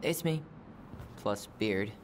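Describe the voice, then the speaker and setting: A man's voice: lowish voice, expressive, slow pace, a little hiss or hum. An actor playing a guarded, wry young man in a naturalistic video game performance. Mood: earnest. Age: young adult.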